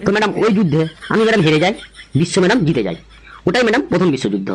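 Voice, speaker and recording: A man's voice: high-pitched, sing-song, very fast, slightly rough, full character voice. A man doing cheeky schoolboy Hada. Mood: rambling. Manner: rambling, cheeky, keeps saying madam. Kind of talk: comic cartoon dub.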